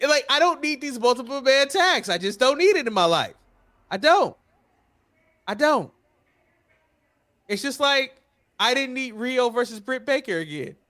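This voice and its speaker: A man's voice: very high voice, sharp tone. An American man hosting a casual podcast, opinionated and exasperated in his delivery. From United States. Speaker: wrestling podcast host. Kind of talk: casual chat and commentary.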